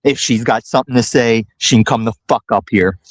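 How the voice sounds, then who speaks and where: Combative male caller, deliberate and profane, dead-dry sound. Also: mid-range voice, no echo. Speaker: call-in guest. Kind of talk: casual chat.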